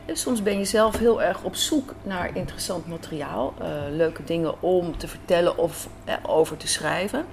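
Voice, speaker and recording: A woman's voice: lowish voice, normal speed, hardly any pauses, a little mellow. Dutch woman chatting in a noisy café. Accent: Dutch, from the Netherlands. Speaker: radio drama writer and director, interview guest. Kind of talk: conversational interview, storytelling. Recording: clear café background noise.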